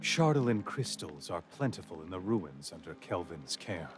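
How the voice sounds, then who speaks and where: Grave quest narrator, deep pitch, scripted game storytelling, clear music behind. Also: male voice. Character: grave male adventurer recounting a quest.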